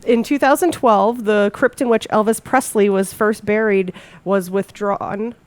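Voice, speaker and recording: Young woman, podcast host, chatty, giggly, medium pitch. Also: steady tone, measured pace, darkish tone, no room sound. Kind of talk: casual news and gossip segment.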